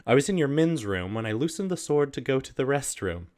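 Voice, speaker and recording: A man's voice: medium pitch, very animated, fairly quick, a little mellow. A man casually hosting a video and reading humorous letters aloud, easily amused and prone to giggling. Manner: giggly, often breaking into laughter while reading.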